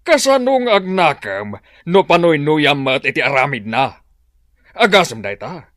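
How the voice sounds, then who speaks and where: A man's voice: a little high, very animated, moderate pace, a bit bright, no echo, studio quality. A man playing a stern, bitter father in a drama, scolding and dismissive. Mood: scornful. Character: stern, bitter father fed up with his child.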